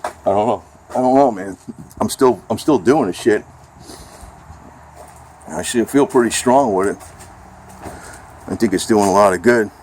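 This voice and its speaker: A man's voice: lowish voice, a little slow, long pauses, a little mellow. An American man chatting casually in a rambling homemade vlog, informal and salty in a matter-of-fact way. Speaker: amateur video blogger. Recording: noisy amateur recording, vehicle ambience.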